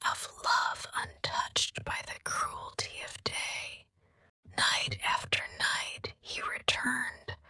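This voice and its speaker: A woman narrating a gothic bedtime story in a slow, breathy ASMR whisper. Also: very deep voice, sharp tone, no room sound.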